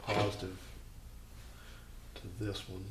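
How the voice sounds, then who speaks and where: Low-voiced man rambling in an echoey garage. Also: monotone, slow pace. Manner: rambling, self-doubting, thinking aloud. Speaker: host of a home garage repair channel. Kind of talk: casual hands-on demonstration talk. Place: home garage. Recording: echoey, noisy garage recording.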